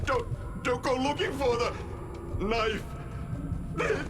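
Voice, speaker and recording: A man's voice: very high-pitched, slow pace, music in the background. An actor playing a frightened film actor begging for his life in a video game, stammering and pleading. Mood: terrified, pleading. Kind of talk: surreal video game drama acting. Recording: noisy ambience behind the voice.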